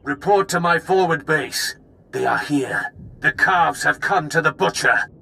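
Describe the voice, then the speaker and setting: A man playing a menacing Sith lord rallying his troops in a video game, with a gravelly, forceful voice. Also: on the high side, a little slow, a little hiss or hum, heavily acted. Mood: menacing, commanding.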